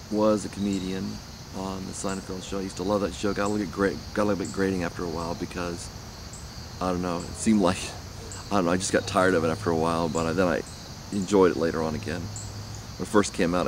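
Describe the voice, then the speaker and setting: A man rambling casually outdoors about faith, speaking haltingly with many restarts. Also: low-pitched, fairly even intonation, noisy background. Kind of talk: casual spiritual musing. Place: outdoors near trees.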